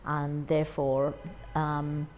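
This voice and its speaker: A woman's voice: deep voice, monotone, takes it slowly, a little mellow, faint music behind. An older woman in her sixties with a Jamaican accent, reminiscing in an oral history interview. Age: 60s. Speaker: interviewee, former overseas student nurse. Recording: echoey hall, faint background noise.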